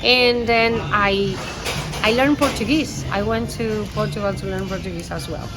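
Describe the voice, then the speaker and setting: A woman from Spain whose first language is Spanish, a tour guide answering interview questions in a lively way, laughing often and searching for words. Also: medium pitch, moderate pace, over loud music, low-quality recording.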